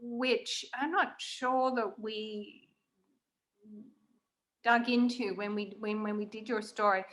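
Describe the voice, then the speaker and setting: A woman hosting a conversational interview, speaking in a measured, reflective way with pauses in the middle of phrases. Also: medium pitch, steady tone, fairly bright tone. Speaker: interviewer, host.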